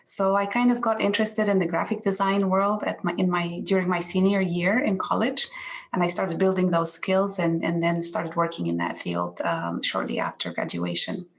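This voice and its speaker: Egypt-born woman, 40s, panelist on a video call; low, creaky, monotone delivery, halting restarts. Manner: earnest, halting with many restarts. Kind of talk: online panel introduction.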